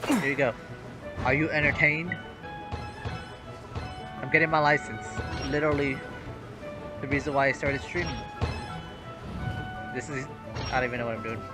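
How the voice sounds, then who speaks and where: A man's voice: medium pitch, steady tone, moderate pace, long pauses. A man streaming a video game, commenting casually, joking and reacting aloud as he plays. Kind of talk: casual livestream gameplay commentary. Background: game music and sounds.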